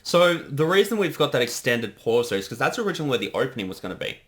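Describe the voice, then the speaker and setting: A man's voice: mid-range voice, sing-song, quick pace. A man giving casual, rambling creator commentary, often interrupting himself. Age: 30s. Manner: hesitant, rambling, self-interrupting.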